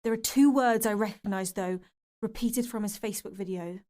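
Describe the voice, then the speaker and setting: A woman's voice: mid-range voice, brisk, a little mellow, lightly acted. An actress playing an uneasy woman investigator reading out disturbing findings in an audio drama, sounding distressed. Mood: uneasy, intent.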